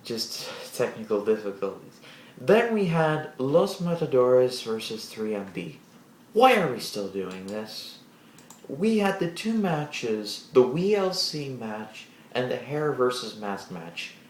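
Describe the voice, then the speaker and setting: A man giving a casual solo wrestling show review, rambling with restarts and animated asides in a deep voice. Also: takes it slowly, reverberant.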